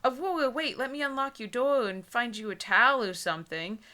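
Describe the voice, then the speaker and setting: An earnest young man from a storybook romance, as a woman reader acts him out, pitched high and bright. He sounds dramatic, solicitous and full of concern. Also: brisk. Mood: worried.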